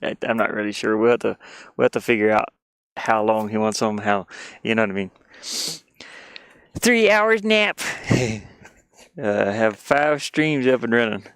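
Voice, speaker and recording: A man chatting casually on a livestream, rambling and halting, often putting down his own efforts. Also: lowish voice, fairly bright tone, clean tone, no room sound. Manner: halting, rambling, self-deprecating. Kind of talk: casual livestream chat while sketching.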